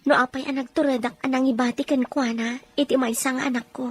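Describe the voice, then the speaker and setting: A woman's voice: sits high, steady tone, a little fast, slightly rough. A woman playing a regretful, resigned young mother in an audio drama, confiding and subdued. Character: regretful, resigned young mother working abroad, former secretary. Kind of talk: amateur audio drama acting.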